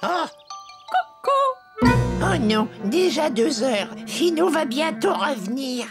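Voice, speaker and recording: A man's voice: extremely high pitch, animated, slow, over loud music, full character voice. A man voicing a hapless young elf in a cartoon, whiny, flustered and theatrical in his would-be mischief. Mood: worried, whining. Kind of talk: French cartoon dub acting.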